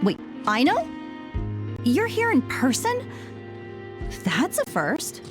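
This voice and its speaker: A woman's voice: sits high, wildly swooping pitch, slow pace, heavily acted. An actress playing an easygoing, confident young woman smith in a scripted fantasy game, casual and self-assured, with music behind. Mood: surprised.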